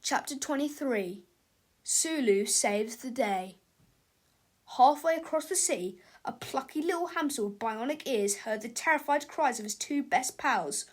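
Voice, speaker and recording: A woman narrating a children's book as an expressive read-aloud. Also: high voice, unhurried. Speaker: storybook narrator.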